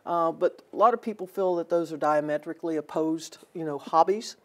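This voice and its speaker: An older woman speaks in a notably low register as a guest on a local TV studio interview. She talks earnestly and at length, often pausing on fillers and restarting her sentences. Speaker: athletic director, guest. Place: TV studio set.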